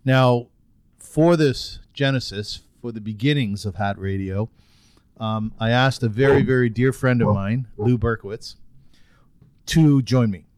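Smooth-voiced male podcast host. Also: mid-range voice, a little mellow, no room sound. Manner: earnest, halting, repeats words. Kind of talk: casual interview chat.

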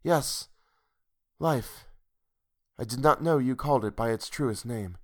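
A man's voice: medium pitch, takes it slowly, fairly bright tone. A man voicing a dreamy young woman in love, timid and sighing, in a literary audiobook. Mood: tender, dreamy. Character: dreamy, frail young woman in love, foretelling her death. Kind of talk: literary audiobook character dialogue.

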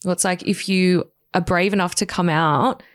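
A woman's voice: lowish voice, steady tone, normal speed, no echo. A woman interviewing a guest in a sympathetic, conversational way. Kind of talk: podcast interview.

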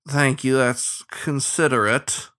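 Man as a guarded ferret riverman, bright mid-pitched. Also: steady tone, slow. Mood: bashful. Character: quiet, guarded young male ferret riverman.

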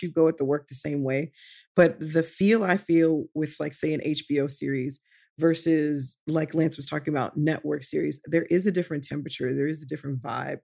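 A man talking as a guest actor in an interview, loose and animated, with lots of "like" fillers. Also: on the high side, gravelly. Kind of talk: conversational interview answer.

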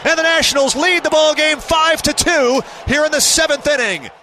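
Baseball announcer guy doing live play by play, super high pitched, noisy ballpark behind him. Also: moderate pace, pushed and bright, some chatter behind. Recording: noisy live stadium broadcast clip. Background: stadium noise and ambience.

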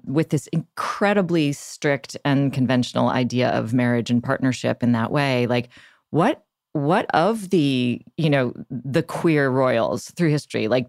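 Very deep-voiced woman interviewer. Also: darkish tone, no room sound, studio quality. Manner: thoughtful, wandering questions. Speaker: podcast host, interviewer. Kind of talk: conversational interview.

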